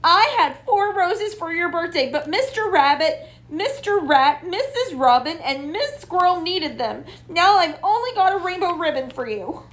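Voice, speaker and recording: Storybook little girl, played by a woman: high, swooping, fretful yet kind, full of exclamation. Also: expressive, unhurried, hardly any pauses, fairly bright tone, exaggerated voice. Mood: apologetic. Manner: exclamatory, dramatic.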